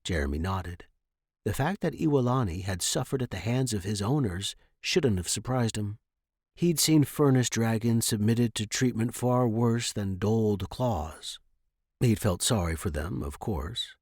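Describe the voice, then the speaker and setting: A man's voice: on the low side, a little mellow, no room sound, studio quality. A man reading scripted fiction as an audiobook narrator.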